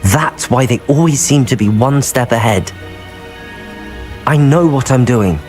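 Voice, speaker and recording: A young man playing a cautious, level-headed teenage wizard student in a video game, earnest and measured. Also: medium pitch, darkish tone, faint music behind, close to an everyday voice. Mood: firm, pleading.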